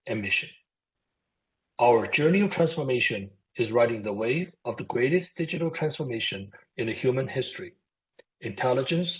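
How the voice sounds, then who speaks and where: A man speaking as a company executive, formally reading prepared remarks in a scripted earnings presentation on a conference call. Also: mid-range voice. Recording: phone-like narrowband conference call audio.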